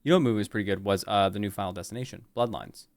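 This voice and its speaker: A man's voice: on the low side, racing, dry recording. A man bantering casually on a live stream in a teasing, joking way. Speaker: stream co-host.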